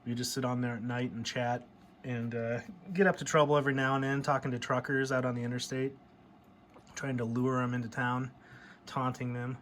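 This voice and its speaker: A man's voice: mid-range voice, flat delivery, a little fast, a bit bright, slight background noise. A middle-aged American man chatting casually and nostalgically, in a rambling show-and-tell style. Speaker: hobbyist vlogger showing a CB radio.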